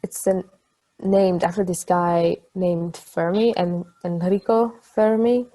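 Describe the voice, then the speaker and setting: An affectionate, playful and brainy girlfriend played by a woman chats in a natural, gently teasing way, as in a stage drama. Her voice is fairly low and very soft-edged. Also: fairly even intonation, takes it slowly, poor audio. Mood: explaining. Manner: casual, conversational, gently teasing. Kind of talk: conversational table-read acting.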